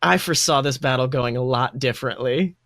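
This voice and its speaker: A man's voice: mid-range voice, brisk, fairly bright tone, dry recording, clean studio sound. A young man casually narrating a tabletop game as game master, playful, exclamatory and sweary. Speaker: game master and podcast host.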